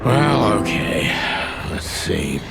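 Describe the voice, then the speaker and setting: Gravelly, hardboiled rooster detective. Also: male voice, big pitch swings, extremely slow, loud music behind the voice, heavily acted. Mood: dry. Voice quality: low, gravelly. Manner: dry, sardonic, laconic noir delivery. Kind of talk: film-noir game voice acting.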